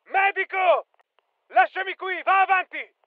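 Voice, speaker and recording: High-pitched, urgent soldier on radio. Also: male voice, unhurried, dry recording, narrow, tinny audio. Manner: clipped, commanding, urgent. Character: tough male soldier barking battlefield callouts. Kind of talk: military shooter game voice lines.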